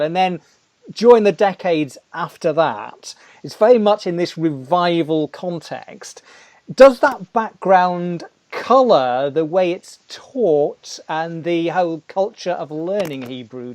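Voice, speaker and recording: High-voiced male interviewer. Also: darkish tone, no room sound. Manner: articulate, long winding questions. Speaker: podcast host. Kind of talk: interviewing a guest.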